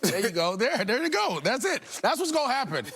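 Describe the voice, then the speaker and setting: A man's voice: very high-pitched, big pitch swings, a little fast, a bit bright, slightly rough. A man on a sports panel, bantering argumentatively and repeating himself to get his point in.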